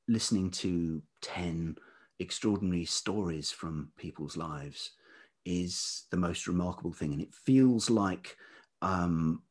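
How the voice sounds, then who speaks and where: A man speaking as an interview guest in a reflective, conversational way, thoughtful and halting as he searches for words. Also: sits low, breathy edge. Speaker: interview guest, writer and musician.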